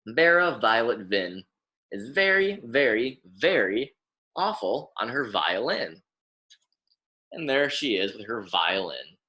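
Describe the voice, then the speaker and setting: A man's voice: mid-range voice, pushed and bright. A young American man reading a picture book aloud as a lesson for children, repeating and emphasising words in an instructive way. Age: young adult.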